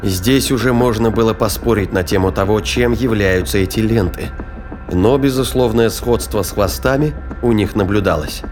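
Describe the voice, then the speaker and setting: Low-voiced man narrating gravely. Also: normal speed. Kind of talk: scripted dramatic narration of sci-fi thriller. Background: faint background music.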